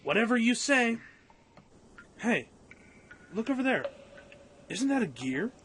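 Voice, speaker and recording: A man's voice: very high voice, sing-song, slow pace, a bit bright, slight background noise, exaggerated voice. A man playing a cautious, earnest young pilot in a radio play, with a slightly hesitant manner. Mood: surprised, wary.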